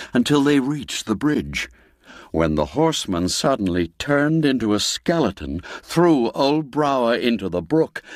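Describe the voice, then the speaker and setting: A man narrating a classic ghost tale in a theatrical, expressive storytelling manner, dwelling on the darker words. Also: medium pitch, dry recording, studio quality.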